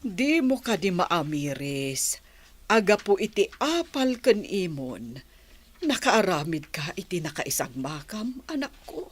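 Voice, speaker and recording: A middle-aged woman with a Filipino accent playing a patient village mother who gives gentle moral advice in a radio drama. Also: fairly low pitch, very animated, takes it slowly, breathy edge, full character voice. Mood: gently reproachful.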